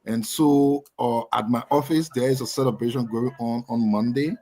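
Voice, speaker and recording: A man's voice: mid-range voice, steady tone, moderate pace, dark tone. A young adult man with a Liberian accent, co-hosting a casual live talk show and chatting with frequent laughs. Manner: talkative, laughs often. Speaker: co-host. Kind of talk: casual chat.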